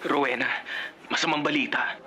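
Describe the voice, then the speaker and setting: A man's voice: on the high side, fairly even intonation, normal speed, bright, forceful tone, slight background noise. An actor playing an exasperated, nagging but loyal male friend with a Filipino accent in a radio drama. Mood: urgent warning.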